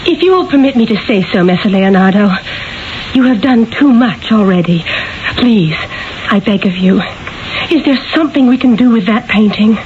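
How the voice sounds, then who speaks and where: A woman plays a poised young gentlewoman in a 1970s radio drama, earnest and formally polite. Her voice is a little high, on a dull recording with faint noise. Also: expressive, normal speed, close to an everyday voice. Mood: pleading, grave.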